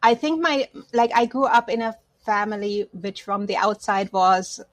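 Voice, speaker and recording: A woman's voice: mid-range voice, fairly even intonation, normal speed, no echo. A woman from Germany, not an English speaker, speaking reflectively about personal matters as an interview guest. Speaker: podcast guest, counselor.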